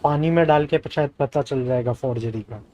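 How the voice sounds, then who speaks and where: A man's voice: medium pitch, racing, mellow, slight background noise. A man from India with an Indian accent, chatting casually and informally as he streams a game. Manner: informal, slangy. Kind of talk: casual live gameplay chat. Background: game music.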